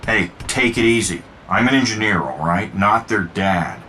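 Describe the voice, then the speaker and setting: An actor playing a cocky, wisecracking billionaire inventor in a video game cutscene, flippant and sardonic. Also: male voice, fairly low pitch, normal speed, sharp tone, a little hiss or hum.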